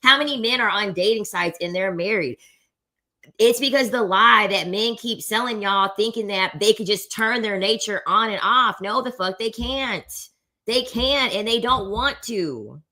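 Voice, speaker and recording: Bright, blunt woman reacting to a clip. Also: a little high. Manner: blunt and emphatic, with swearing and repeated phrases. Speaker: content creator reacting to a debate clip. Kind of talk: commentary on a played clip.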